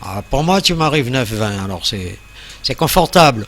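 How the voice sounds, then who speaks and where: A man's voice: medium pitch, very animated, moderate pace, a bit bright, a little hiss or hum. A man chatting casually as an amateur radio operator during a contact.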